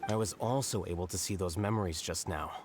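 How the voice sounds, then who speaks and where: A man playing a calm, self-assured scholar in a video game, analytical and matter-of-fact. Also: deep voice, brisk, slight background noise, lightly acted. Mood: composed.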